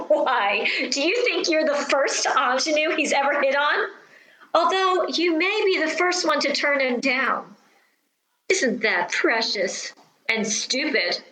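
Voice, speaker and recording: An actress playing a haughty, seductive vaudeville-born stage star in a radio play, theatrical and condescending. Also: female voice, high voice, lively intonation, takes it slowly, pushed and bright, reverberant, slight background noise, exaggerated voice, rough recording. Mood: smug, taunting. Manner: theatrical, drawling, condescending endearments.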